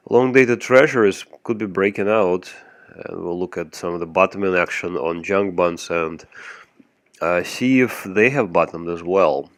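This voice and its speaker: Brief: low, smooth male voice, dry close sound, host with a cold walking through market charts, measured and halting. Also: no echo. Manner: measured, explanatory, with frequent ums. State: has a cold. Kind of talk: screen-share market recap.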